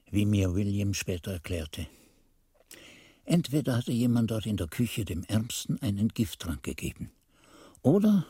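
A man's voice: lowish voice, slightly rough, dry recording, studio quality, full character voice. An actor playing a monk who recalls his youth in a radio drama, narrating in a solemn, ardent, literary manner. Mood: measured, explanatory. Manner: expressive, solemn, literary delivery. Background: music.

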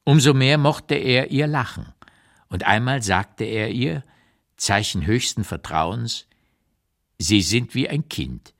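An actor playing an aged, blunt, keenly observant writer looking back, in a measured literary radio reading with deliberate pauses. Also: male voice, mid-range voice, lively intonation, creaky. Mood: reflective. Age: older.

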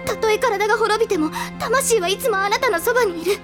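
A woman voicing an anguished, bitter teenage girl in an audio drama, speaking in a wounded, halting and intense way. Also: very high voice. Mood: aching. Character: anguished teenage girl, bitter over her mother's betrayal. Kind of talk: audio drama acting, emotional monologue. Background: music.

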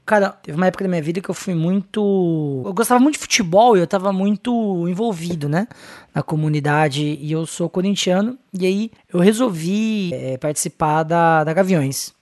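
A man's voice: high voice, normal speed, a little mellow. A man chatting casually on a podcast, joking and hedging his points with fillers.

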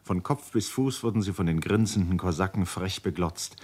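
A man narrating a literary radio play in a measured, scripted storytelling manner. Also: sits low, darkish tone, breathy edge.

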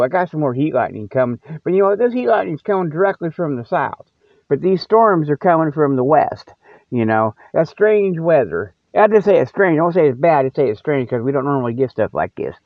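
A man's voice: a little high, lively intonation, fairly quick, dry recording. A man hosting his own radio show, rambling solo in a folksy, colloquial, teasing way. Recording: tinny, band-limited radio sound, very dry.

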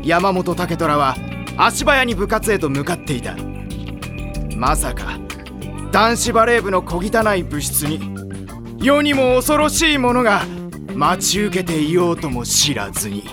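A man playing a loud, hot-blooded, easily spooked high school volleyball boy in an anime audio drama, with blustering, rough slang. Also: very animated, measured pace, music in the background, full character voice. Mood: dramatic foreboding.

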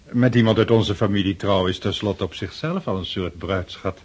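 An actor playing a generous, flustered middle-aged count in a radio drama, hastily agreeable, with a husky voice. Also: male voice, on the low side, normal speed. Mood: musing. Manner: hasty, repetitive, eagerly agreeable. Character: generous, flustered count, anxious father of the bride.

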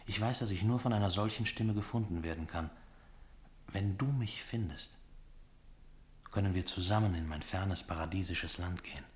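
A man acting a mysterious, homesick foreign soldier in a radio play, speaking solemnly and poetically in a measured delivery. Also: deep voice, lightly acted. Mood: earnest, pleading.

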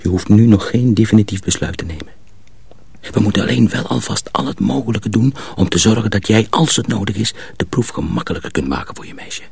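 An actor playing a cautious, secretive young miller's apprentice in a radio drama, husky and often hushed, explaining things deliberately. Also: male voice, fairly low pitch. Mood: earnest, hushed. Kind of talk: Dutch radio drama acting.

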